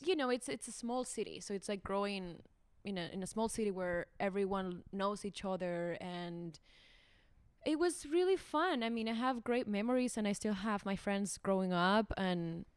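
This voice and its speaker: A woman's voice: medium pitch, moderate pace, clean tone, no room sound. Spanish-accented woman, dry close sound. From Ceuta, Spain. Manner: laughs often, repeats words while searching for English. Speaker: guest actress. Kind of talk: casual podcast chat.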